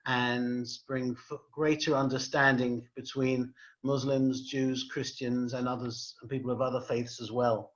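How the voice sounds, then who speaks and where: Flat-voiced man hosting a video call. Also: medium pitch, a little slow. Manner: polite, hesitant, many ums. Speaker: host, rabbi. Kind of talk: closing thanks at an online event. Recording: dull lo-fi video call audio.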